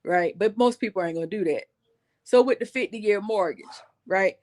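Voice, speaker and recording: A woman's voice: mid-range voice, moderate pace, darkish tone. A woman, hosting a casual livestream and explaining things to viewers in a talkative way, often repeating herself for emphasis. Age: 40s. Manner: conversational, addresses viewers as y'all, repeats points for emphasis. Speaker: livestream host.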